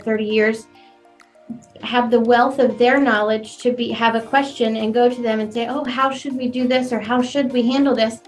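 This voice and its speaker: A chatty woman florist in her forties tells her story with a young-sounding, slightly high voice. She talks in an animated, easy way. Soft music plays behind her. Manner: chatty and animated, laughs easily. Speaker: florist and business owner. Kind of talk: conversational interview.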